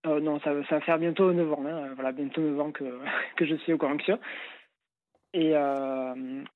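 A young man calls in over a tinny phone line and talks haltingly, full of fillers and restarts. His voice sits a little high and barely moves in pitch. The sound is dry and close. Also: steady tone, no room sound. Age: late 20s. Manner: hesitant, many fillers and restarts. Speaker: caller. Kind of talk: phone-in call.

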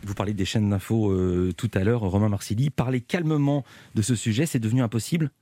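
Male radio interviewer, fast and pressing, dark husky tone, live studio. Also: on the low side, no echo. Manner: brisk, pressing questions.

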